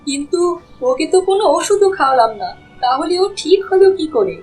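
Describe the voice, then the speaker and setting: A woman's voice: high voice, big pitch swings, a little fast, a little mellow. A woman voicing a tender, anxious village mother in a cartoon. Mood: amazed, hopeful. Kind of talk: Bengali cartoon voice acting.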